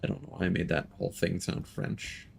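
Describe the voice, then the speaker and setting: A man's voice: sits low, fairly even intonation, a little fast, gravelly, a little hiss or hum. A man giving casual, rambling live commentary as a game streamer, informal and prone to swearing. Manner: informal, rambling, swearing, muttered reactions to what is on screen.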